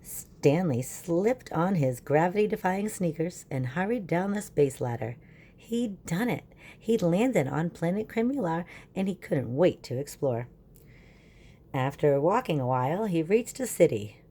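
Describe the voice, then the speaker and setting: A woman's voice: fairly low pitch, animated, unhurried, mellow, breathy edge. A woman giving a children's lesson and reading a storybook aloud, instructive and asking her listeners questions. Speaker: teacher reading a picture book aloud.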